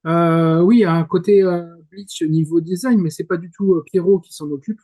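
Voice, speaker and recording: Male panelist, 40s. Slightly high, relaxed tone, tentative thinking-aloud delivery in casual chat. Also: normal speed. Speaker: talk show panelist.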